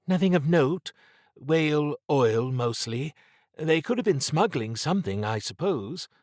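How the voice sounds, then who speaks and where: A man's voice: fairly high pitch, expressive, unhurried, a little mellow, no room sound, clean studio sound, pitched above the performer's own voice. A man voicing a wry, guarded female investigator in an audiobook, with a cool manner. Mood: musing. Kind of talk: audiobook character dialogue.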